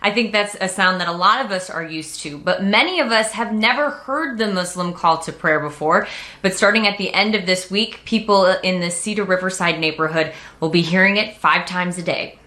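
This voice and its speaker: A woman's voice: on the low side, moderate pace, bright, forceful tone. A woman television reporter giving a conversational live news report.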